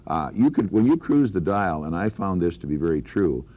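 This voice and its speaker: Older man with a low, mellow voice. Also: big pitch swings, a little fast. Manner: emphatic, folksy turns of phrase. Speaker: radio veteran. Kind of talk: casual panel reminiscing.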